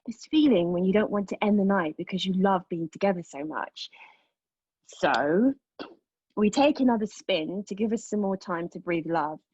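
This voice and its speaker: Actress as a husky, intense young woman confessing. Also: medium pitch, normal speed, darkish tone, dry recording, highs cut off, natural voice. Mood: wistful, intimate. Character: haunted young woman recounting a painful memory. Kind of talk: dramatic monologue read at a table read of a play.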